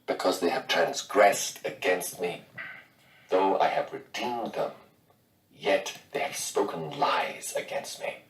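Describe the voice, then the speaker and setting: A man reading scripture in a solemn, deliberate way. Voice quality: low-pitched. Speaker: Bible reader. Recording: echoey room, distant from microphone.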